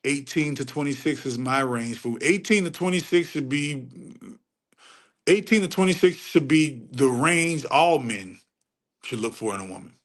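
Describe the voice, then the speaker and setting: A middle-aged man on a casual livestream rant, preachy and profane, repeating phrases for emphasis and climbing sharply in pitch when he gets heated. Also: animated, moderate pace. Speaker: livestream host answering chat.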